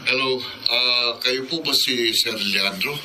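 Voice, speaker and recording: An actor with a Filipino accent playing a polite police officer who gravely delivers bad news in a radio drama. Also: male voice, medium pitch, very noisy, close to an everyday voice. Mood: grave, sympathetic. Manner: formal, polite, measured. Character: apologetic male police officer breaking tragic news.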